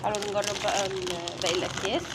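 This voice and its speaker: A woman's voice: medium pitch, flat delivery, racing, heavy background noise. A woman with an Egyptian accent, a mother chatting casually to her viewers while unboxing. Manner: chatty, addresses viewers directly. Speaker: mother and family vlogger.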